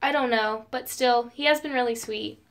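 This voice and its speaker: Woman as a chatty, higher-voiced teenage girl. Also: moderate pace, lightly acted. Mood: relaxed. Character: chatty, romantic teenage girl, best friend. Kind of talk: amateur audio drama acting.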